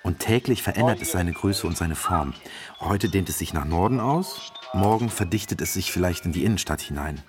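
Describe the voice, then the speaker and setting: A man's voice: deep voice, expressive, normal speed, over music. A man playing a courteous hotel page who formally narrates a radio play as a guide for guests. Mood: polite welcome.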